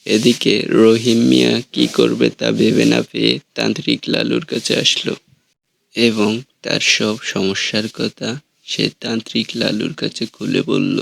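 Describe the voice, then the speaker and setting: A man's voice: fairly low pitch, little pitch movement, measured pace, gravelly, a bit bright, no room sound. A man narrating a scripted cartoon story.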